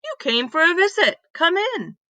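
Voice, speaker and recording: A friendly neighbour lady from a children's storybook, voiced by a woman reader, bright and lilting. She sounds welcoming and mildly curious, in a dry recording. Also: very high-pitched, sing-song, normal speed, no room sound, voice pushed up, heavily acted.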